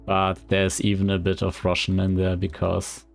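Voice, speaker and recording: Low gravelly man with a German accent. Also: moderate pace, soft background music. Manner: rambling, hesitant, relaxed. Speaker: indie game developer streaming. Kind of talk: casual livestream chat.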